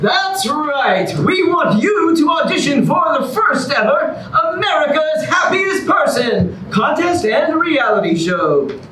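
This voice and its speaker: An actor playing a brash, hyped reality-show announcer in a stage comedy's parody commercial, declaiming theatrically. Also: male voice, very high voice, animated, slow pace, few pauses, bright, forceful tone, full character voice. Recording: very echoey room, distant, faint noise.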